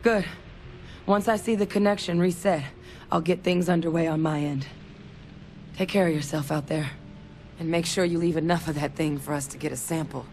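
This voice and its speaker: An actress in a video game playing a tough, terse female field operative who gives tactical orders in a businesslike, measured way. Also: sits low, steady tone, a little mellow, slight background noise.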